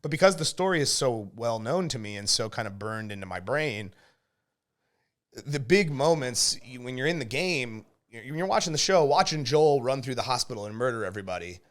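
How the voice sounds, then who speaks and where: A man's voice: mid-range voice, lively intonation, brisk, fairly bright tone. A man chatting casually as a podcast host, giving his opinions at length in an emphatic, explanatory way and checking in with little tag questions. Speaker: podcast host and game reviewer. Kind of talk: casual opinionated review chat.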